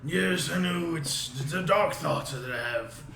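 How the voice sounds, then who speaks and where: A young man playing his character in a tabletop game, talking haltingly with many fillers, over an echoey call. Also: on the high side, gravelly, pushed and bright. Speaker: player voicing his character. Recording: echoey room with background noise.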